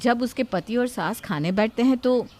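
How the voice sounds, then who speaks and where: A woman's voice: on the high side, normal speed, darkish tone. A woman narrator reading a scripted moral story.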